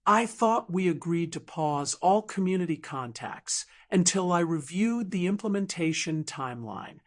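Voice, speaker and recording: A woman acts out a guarded businessman in his sixties for an audiobook drama. He is earnest yet controlled, speaking slowly and coolly. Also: lowish voice, lively intonation. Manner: cool, restrained, controlled.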